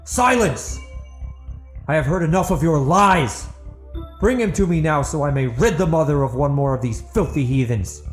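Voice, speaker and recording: A man's voice: fairly high pitch, lively intonation, moderate pace, roomy sound, faint music behind, pitched above the performer's own voice, lightly acted. A man voicing a furious, zealous chieftain with a commanding, menacing, formal manner in a fantasy audiobook.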